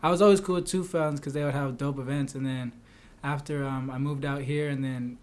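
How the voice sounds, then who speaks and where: A man's voice: mid-range voice, fairly even intonation, a little fast, darkish tone. A young man from East Los Angeles with a Southern California accent, answering interview questions in a slangy, rambling way. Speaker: guest skateboarder.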